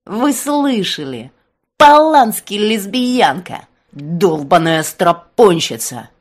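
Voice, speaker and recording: A woman's voice: medium pitch, big pitch swings, slow pace. A woman voicing a cruel, taunting teenage school bully with a mocking manner, in an audiobook. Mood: jeering. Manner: mocking, sneering.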